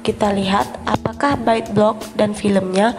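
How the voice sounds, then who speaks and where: A woman's voice: medium pitch, steady tone, a little slow. A young woman reading scripted instructional narration, one step after another. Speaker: student demonstrating dental radiography procedure. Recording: music clearly behind the voice. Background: background music.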